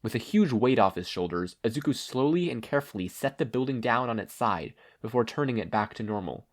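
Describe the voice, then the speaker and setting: A man narrates an action story from a script. His voice sits at a middle pitch and moves around a lot, giving an expressive audiobook-style read. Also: fairly quick. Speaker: fan fiction reader.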